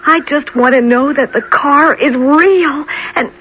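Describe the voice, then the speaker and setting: Anxious, very high-voiced young woman. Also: measured pace, a bit bright. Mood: earnest. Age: young adult. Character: anxious, earnest young woman stranded on a trip. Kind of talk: 1970s radio drama acting. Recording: muffled old radio recording, clear background noise.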